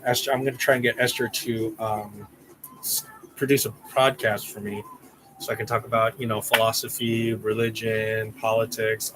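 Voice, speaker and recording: A man's voice: medium pitch, slight background noise, rough recording. A man hosting a casual livestream, rambling with restarts and reading out viewer names. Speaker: channel host.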